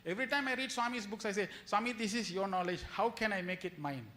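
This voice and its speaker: A man speaks in a notably high, bright and forceful voice. He delivers a spiritual lecture like a storyteller, slipping into quoted lines and rhetorical questions. Key phrases come back again for emphasis. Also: fast. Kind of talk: devotional lecture to an audience.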